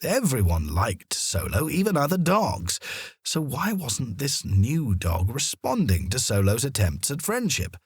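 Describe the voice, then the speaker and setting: A man narrating a children's story in an expressive storytelling manner, with deliberate pauses. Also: medium pitch, husky, no room sound, clean studio sound. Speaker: audiobook narrator.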